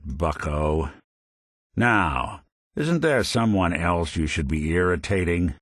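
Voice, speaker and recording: An actor playing a world-weary old synthetic private detective in a video game, wry and kindly, with dry, old-fashioned gumshoe slang. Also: male voice, deep voice, no echo. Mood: wry, sardonic. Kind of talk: video game character acting, 1940s noir detective patter.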